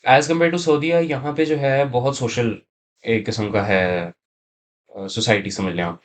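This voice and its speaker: A man's voice: mid-range voice, steady tone, measured pace. A young man with a Pakistani accent, speaking as an interview guest in a casual, explanatory way and mixing in English words. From Saudi Arabia, of Pakistani family. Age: young adult. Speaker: interview guest, university student.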